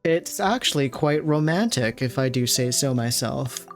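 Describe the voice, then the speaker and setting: Woman game streamer, low pitch, casual commentary, halting asides, game music behind. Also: expressive. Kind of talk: casual live gaming commentary.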